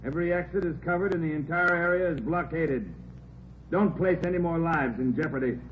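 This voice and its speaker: An actor playing a police officer making a firm, measured announcement, in 1940s radio drama style. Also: male voice, on the high side, close to an everyday voice. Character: police officer addressing a theater audience. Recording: dull old radio recording with hiss.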